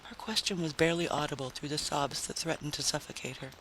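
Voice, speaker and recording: A man's voice: a little high, fairly even intonation, fast, sharp tone, a little hiss or hum, low-quality recording. A man reading fiction aloud as a dramatic, scripted narration. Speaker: audiobook narrator.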